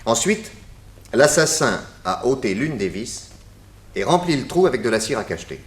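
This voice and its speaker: A man's voice: medium pitch, sing-song, normal speed, echoey room, natural voice. An actor playing a shrewd, authoritative male master detective in a French radio serial, methodical in his questions and explanations. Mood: confident, emphatic.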